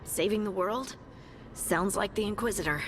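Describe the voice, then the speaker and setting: A woman's voice: medium pitch, moderate pace, a little hiss or hum, natural voice. A woman voicing a small, caring female scout in a fantasy video game, earnest and friendly. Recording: music behind the voice.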